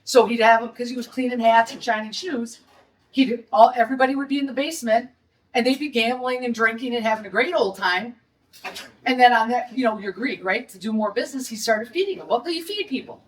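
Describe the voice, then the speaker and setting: A woman's voice: fairly high pitch, a little fast, far from the mic, rough recording. A middle-aged woman from Detroit with a Detroit accent, a restaurant owner holding a blunt, indignant press conference.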